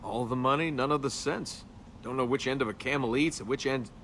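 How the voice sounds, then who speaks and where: A man's voice: mid-range voice, a little fast, a bit bright, slight background noise, close to an everyday voice. A man playing a cocky, wisecracking young adventurer and tomb robber in a video game, with a sarcastic, teasing manner. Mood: teasing, evasive. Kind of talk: video game voice acting, conversational banter.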